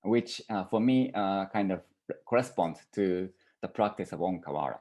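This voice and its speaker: Low-voiced man, halting artist talk. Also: animated, dark tone. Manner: halting, searching for words. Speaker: artist presenting own work.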